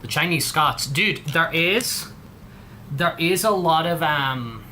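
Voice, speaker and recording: A man's voice: a little high, lively intonation, takes it slowly, bright, forceful tone, slight background noise. A man with an English accent hosting a livestream, chatting casually in a rambling, slangy way. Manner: rambling, heavy on slang like dude and bro. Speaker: livestream host.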